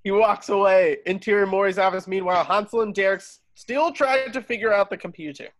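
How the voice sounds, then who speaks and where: High-pitched man reading stage directions. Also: a little fast. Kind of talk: reading screenplay directions at a table read. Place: home, remote video call.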